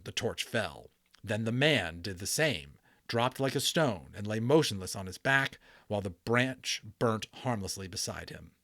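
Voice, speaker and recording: A man's voice: on the low side, a bit bright, no room sound, studio quality. A man narrating a storybook in a dramatic reading, with theatrical emphasis and a storyteller's delivery. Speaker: audiobook narrator.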